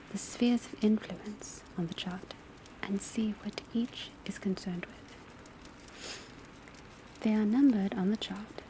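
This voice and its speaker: A woman playing a professor of divination at a witch school, lecturing in a soft, breathy, measured whisper in an ASMR roleplay. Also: mid-range voice. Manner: measured, instructive, hushed. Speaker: teacher. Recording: close ASMR recording with background ambience noise. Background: crackling fireplace, pencil writing, rain on rooftop.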